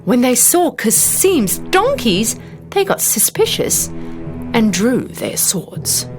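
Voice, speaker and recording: Very animated woman storyteller. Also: on the high side, takes it slowly, over music. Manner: expressive storybook delivery. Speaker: storyteller narrator. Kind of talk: scripted children's fairy tale narration.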